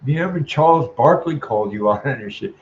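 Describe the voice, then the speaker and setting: A man delivering a profane, heated rant to the camera, tagging his sentences with "man" and stalling on long "uh" sounds. His voice sits at an ordinary middle pitch. The recording is a little dull and lo-fi, with a faint hum of the room behind him. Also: animated. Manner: tags sentences with "man", chuckles, stalls on drawn-out "uh". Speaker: vlogger. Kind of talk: profane ranting monologue.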